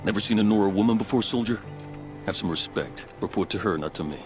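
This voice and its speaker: An actor playing a steady, authoritative captain of a border outpost, speaking in a measured, formal, earnest way in a video game. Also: male voice, lowish voice, music in the background, close to an everyday voice. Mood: stern. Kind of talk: video game dialogue acting.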